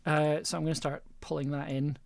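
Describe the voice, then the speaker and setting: A woman's voice: low voice, flat delivery, brisk, gravelly, a little mellow. A woman hosting a live-streamed recording session in casual chat, also acting as its editor. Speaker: host and editor.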